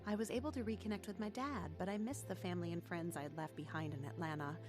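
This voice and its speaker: A woman's voice: on the low side, fast, natural voice. Woman acting an earnest young storyteller over music. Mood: reflective. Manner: earnest, reflective. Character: earnest young woman recounting her testimony. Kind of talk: radio drama first-person narration.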